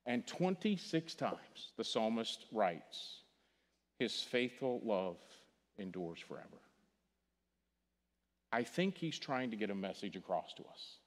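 Missouri-accented pastor in a reverberant church. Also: male voice, on the low side, very animated, takes it slowly, pauses often. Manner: earnest, repeats words, drops to a hush on key phrases. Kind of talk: funeral sermon. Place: large church sanctuary stage. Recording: long hall reverb from the church.

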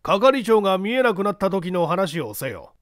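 A man performing a stern, probing judge in a mystery story. The voice is notably high and very clear, with a dry close sound. He questions bluntly and with authority. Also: very animated, moderate pace, no echo. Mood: stern, commanding. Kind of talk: dramatised mystery story reading.

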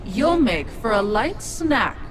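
A woman's voice: fairly high pitch, sing-song, takes it slowly, heavy background noise. A woman playing an evil, ancient witch in a video game, sinister and mocking. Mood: menacing, mocking. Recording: loud game music underneath.

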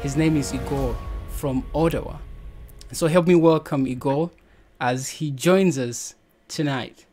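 A man's voice: on the high side, a little slow, darkish tone, soft background music. A young man hosting a Bible podcast over a video call on a headset, upbeat and encouraging. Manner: upbeat, encouraging, laughs often. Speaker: podcast host.

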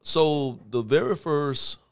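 A man in his thirties with a raspy voice, a rapper talking slowly with many fillers as a guest in a podcast studio. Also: on the high side, expressive. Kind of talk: casual interview chat. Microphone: headphones and studio mic on boom.

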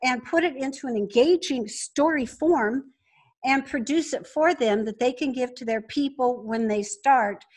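Female founder as interview guest, conversational delivery, voice on the high side. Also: unhurried. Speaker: podcast guest, business founder.

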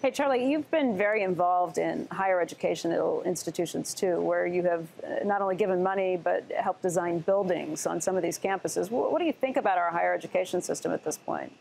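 Brisk woman TV interviewer, gravelly edge. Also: fairly low pitch, a little mellow, slight background noise. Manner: brisk, steering the conversation. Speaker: TV news interviewer. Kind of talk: broadcast interview questions. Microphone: lapel mic.

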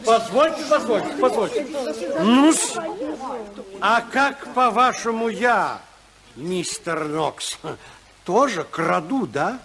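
Casting: fussy, self-righteous clergyman for vintage radio play, high-pitched, drawn-out delivery. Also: animated, crowd noise, full character voice. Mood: affronted. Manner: fussy, indignant. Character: affronted, self-righteous male preacher. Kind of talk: 1950s Soviet radio drama acting. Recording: 1950s radio play recording.

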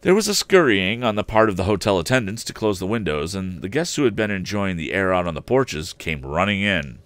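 A man's voice: low voice, very animated, brisk, a bit bright, no room sound. An older man narrating a storybook aloud as a livestream storyteller, close to the mic.

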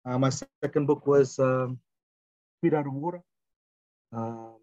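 Man, forties, Fijian accent, reflective and halting, even pitch, lo-fi video-call sound. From Fiji. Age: 40s. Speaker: guest, poet. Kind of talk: casual interview talk. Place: small room indoors, on a video call. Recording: dull, roomy video-call audio.

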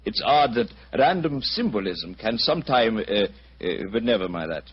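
An actor playing a courteous, musing scientist with a formal manner in an old-time radio drama. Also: male voice, on the low side, very animated, normal speed, husky. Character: musing, courteous male scientist showing his laboratory. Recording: dull old radio recording.

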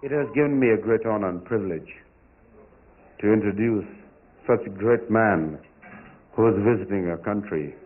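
A man at a banquet podium giving a slow, ceremonious introduction of a guest. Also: fairly low pitch. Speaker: banquet host. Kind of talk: formal introduction speech. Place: banquet hall. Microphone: podium microphone. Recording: tinny, distant hall recording.

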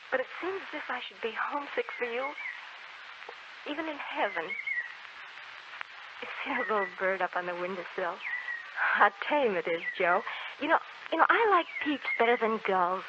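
An actress in a 1940s American radio drama playing a frail, gentle dying young woman, speaking haltingly and wistfully with sweet resignation. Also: a little high, expressive, natural voice. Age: young adult. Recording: muffled, very noisy 1940s radio recording.

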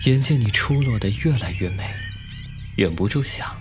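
A man acting a cold, scheming, possessive young nobleman in a Chinese costume audio drama, with a mocking, deliberate manner. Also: lowish voice, lively intonation, natural voice. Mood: smug, teasing. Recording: music playing behind the voice.

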